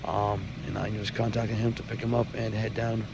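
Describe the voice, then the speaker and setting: A young man vlogging as he travels, hoarse from losing his voice. His voice sits a little low and crackles with fry, and he hesitates, filling gaps with ums and uhs. Loud background noise surrounds him. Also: little pitch movement, brisk. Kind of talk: casual vlog to camera. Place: outdoors then at an airport.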